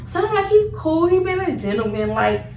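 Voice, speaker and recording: A woman's voice: very high voice, normal speed. Young woman telling a story, roomy noisy recording. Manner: animated, slangy, repetitive retelling. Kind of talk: casual storytelling chat. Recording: roomy, distant mic, noticeable background noise.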